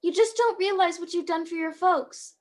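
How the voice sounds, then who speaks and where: A very high-pitched, bumbling guardian angel played by a woman in a radio play. The delivery is earnest and chatty, with a kindly eagerness to help. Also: normal speed, darkish tone. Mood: gently persuasive. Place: at home on a video call.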